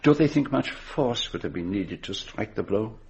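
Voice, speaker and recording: An actor playing a courteous, methodical detective in a radio drama, with a French-speaking Belgian accent and formal, probing speech. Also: male voice, lowish voice, expressive, normal speed, creaky, breathy edge, full character voice. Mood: thoughtful, decisive.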